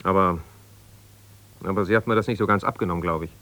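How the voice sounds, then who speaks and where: An actor playing an evasive, ambitious lawyer whose marriage has broken up, talking haltingly and reassuringly yet with exasperation in a naturalistic radio drama. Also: male voice, deep voice, a bit bright. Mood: irritated, sardonic. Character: ambitious lawyer husband, evasive, placating, strained, newly separated. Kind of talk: naturalistic 1970s German radio drama, one-sided phone calls.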